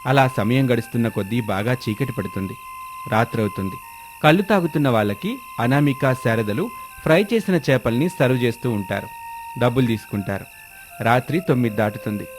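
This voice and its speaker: Male story narrator with medium pitch. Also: fairly quick, noisy background.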